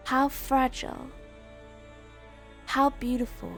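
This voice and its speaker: A woman streamer casually playing through a game live, reading its text aloud in a halting, measured way. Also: sits high, flat delivery. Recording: game music behind voice. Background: video game music.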